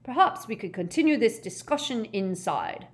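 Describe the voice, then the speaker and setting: A woman's voice: a little high, very animated, normal speed, voice pushed up. A woman voicing a formal, easily flustered and indignant male government official in a storybook reading. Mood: cold, tart. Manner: formal, polite, tart.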